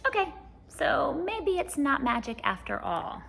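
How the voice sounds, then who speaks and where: A woman narrates a children's picture book expressively. Her voice is high and very animated, a little husky, and unhurried. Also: slight background noise. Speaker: storybook reader.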